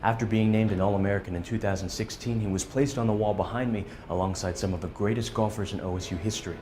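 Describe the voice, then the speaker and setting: Young guy reading sports news, lowish voice, steady and scripted. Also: quick pace, a little hiss or hum. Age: young adult. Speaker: student sports reporter. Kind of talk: news package narration and sportscast.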